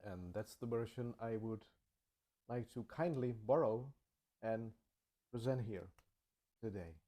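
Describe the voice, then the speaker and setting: Guy, english, calm reflective narration, really unhurried, low-key mellow tone. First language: Czech. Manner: slow, measured, thoughtful delivery. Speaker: channel host.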